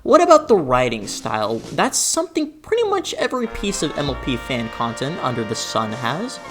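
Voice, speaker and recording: A woman's voice: a bass voice, huge pitch swings, normal speed. A woman narrating a scripted video essay as host, with a theatrical, sarcastic delivery and exaggerated emphasis. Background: background music.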